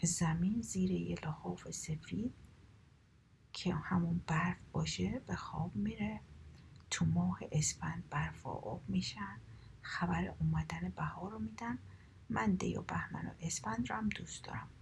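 Sweet, cheerful young woman in a whispered fairy tale, read by a woman; gravelly whisper, faint hiss behind. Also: lowish voice, darkish tone. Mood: gentle.

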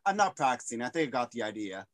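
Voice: mid-range voice, very animated, fast talker, dry recording. A host asking questions in a playful, joking, bawdy manner. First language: English. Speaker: podcast host. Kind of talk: casual interview.

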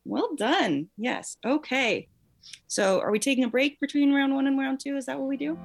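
A woman's voice: high voice, moderate pace, gravelly, loud music behind the voice. A woman hosting a quiz as guest quizmaster in a casual, encouraging way.

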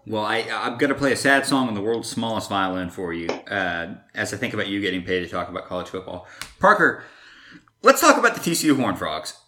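A man's voice: lowish voice, big pitch swings, fairly quick, pushed and bright, a little hiss or hum. A man hosting a sports podcast, chatting casually and teasing his co-host.

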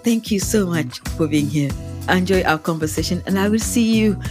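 Low-voiced woman podcast host. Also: normal speed, music in the background. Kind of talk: interview podcast.

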